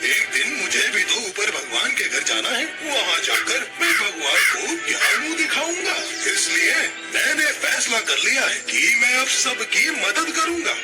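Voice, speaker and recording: Guy doing smooth talking old hawk for a cartoon, fake kindly, really high swingy voice, music behind. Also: very animated, measured pace, hardly any pauses, exaggerated voice. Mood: pious, reassuring. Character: sly old male hawk feigning piety. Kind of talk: Hindi cartoon moral story acting.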